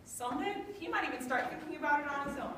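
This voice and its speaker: A woman's voice: sits high, animated, quick pace, fairly bright tone. A young woman giving scripted comic narration on stage. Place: school chapel stage before an audience. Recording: very echoey hall, distant mic, faint noise.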